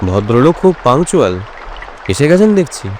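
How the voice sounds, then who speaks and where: An actor playing a brisk, commanding private detective in an audio drama, giving crisp, decisive instructions. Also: male voice, a little high, sing-song, darkish tone, slight background noise.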